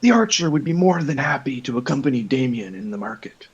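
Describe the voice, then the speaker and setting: A man's voice: mid-range voice, very animated, fairly quick, breathy edge, muffled recording. A man playing a tabletop game, speaking about his archer in the third person with formal, old-fashioned wording. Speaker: player.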